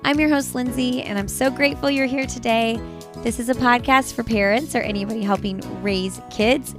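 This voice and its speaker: An American woman hosting a parenting podcast, reading ads and interviewing in an upbeat, enthusiastic way. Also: a little high. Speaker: podcast host. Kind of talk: host intro, sponsor read and interview. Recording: music playing behind the voice.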